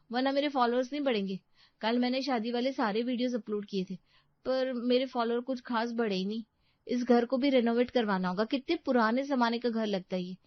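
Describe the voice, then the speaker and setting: A woman voicing an eager, vain and bossy newlywed vlogger in an animated moral story, showy and insistent. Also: fairly high pitch, no room sound, highs cut off, natural voice.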